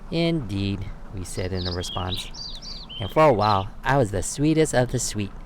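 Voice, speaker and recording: A man reading a children's picture book aloud as storyteller, expressive and given to dramatic pauses. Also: fairly low pitch, slight background noise.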